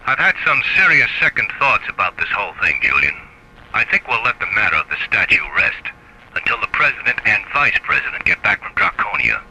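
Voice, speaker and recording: An actor playing a curt, dismissive government secretary in a science fiction radio drama. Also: male voice, lowish voice, huge pitch swings, a little fast, pushed and bright, slight background noise, heavily acted, poor audio. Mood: dismissive, firm. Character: evasive, dismissive senior government secretary, male.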